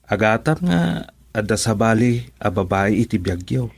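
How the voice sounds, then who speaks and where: A man's voice: lowish voice, animated, a little slow, gravelly, slightly rough, no echo, heavily acted. An actor in an Ilocano radio drama playing a deferential employee reporting to his boss, with a Filipino accent.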